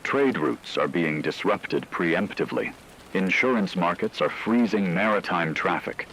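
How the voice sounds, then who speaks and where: A man playing a solemn radio correspondent, reading scripted emergency news in a formal, measured way. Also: low voice, fairly bright tone, a little hiss or hum, exaggerated voice, rough recording. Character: grave male radio war correspondent in fictional broadcast.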